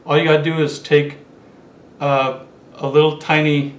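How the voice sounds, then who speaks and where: A man's voice: a little high, level tone, moderate pace. A man in his forties chatting casually to camera as he holds up his collectibles one by one, recorded at a desk in a small room. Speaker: collector vlogger. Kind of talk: casual show-and-tell vlog. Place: small indoor room with curtains. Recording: roomy echo, faint room hiss.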